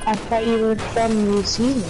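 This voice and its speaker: A woman's voice: fairly high pitch, flat delivery, normal speed, music in the background, poor audio. A woman chatting casually with other players during an online game, throwing out dry, teasing quips. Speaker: player in voice chat.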